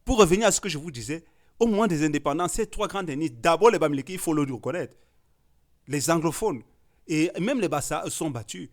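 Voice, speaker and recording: A man debates on a panel. His voice sits a bit high, and he pushes his points with emphatic, forceful declarations. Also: animated, fairly quick. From Cameroon. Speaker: artist and discussion guest. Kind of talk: heated panel discussion.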